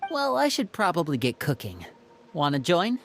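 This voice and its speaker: A woman's voice: fairly low pitch, wildly swooping pitch, moderate pace, a little hiss or hum. A woman voicing a composed, caring older brother in his teens for a video game, polite and self-assured. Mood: friendly, easygoing. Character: composed, caring teenage older brother, smooth young man. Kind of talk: English game voice acting.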